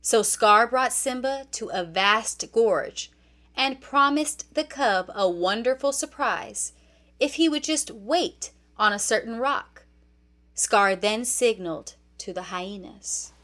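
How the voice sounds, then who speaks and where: Woman, children's story narrator, higher-pitched voice, very slow pace, expressive, deliberate. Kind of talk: scripted children's read-along narration.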